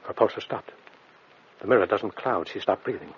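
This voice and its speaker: An actor playing a stern, sceptical doctor in a gothic radio drama, with a clipped, grave, scornful manner. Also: male voice, lowish voice, animated, normal speed, slightly rough. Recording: dull radio recording with faint noise.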